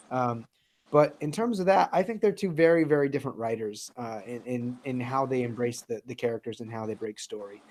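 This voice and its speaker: A man's voice: mid-range voice, a little mellow, a little hiss or hum. A man hosting a casual comics chat, talking with enthusiasm, swearing now and then and leaning on fillers. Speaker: podcast host.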